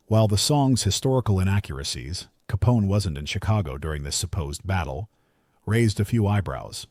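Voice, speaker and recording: A man reading scripted countdown narration in a polished, measured storytelling manner, close on the microphone. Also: fairly low pitch, a little mellow, dry recording.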